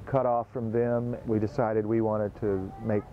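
A low-voiced man in his fifties speaks slowly and thoughtfully about his craft, often pausing and restarting. The sound is dull and a little muffled, with a faint outdoor hiss behind him. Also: flat delivery. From North Carolina via Alaska. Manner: thoughtful, halting, with frequent fillers and restarts. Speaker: stained glass and glass artist. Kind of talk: reflective booth interview. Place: outdoor festival tent booth.

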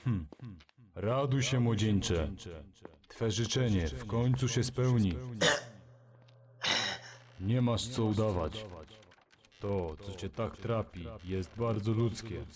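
Man playing a slow, ominous low-voiced priest. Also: a crowd in the background. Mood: knowing, ominous. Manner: portentous, deliberate. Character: grave, ominous priest addressing a youth. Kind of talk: anime fan dub acting.

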